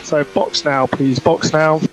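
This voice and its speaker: A man's voice: on the high side, fairly even intonation, slow, soft background music. A man working as a race engineer on team radio, giving clipped instructions and repeating each one. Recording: noisy team radio, vehicle noise.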